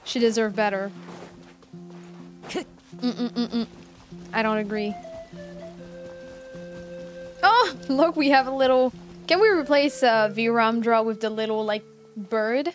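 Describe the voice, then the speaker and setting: A woman's voice: fairly high pitch, a little slow, pauses often. A woman in her twenties giving casual gaming reaction commentary, with exasperated, joking asides. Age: 20s. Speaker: streamer. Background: game dialogue and music.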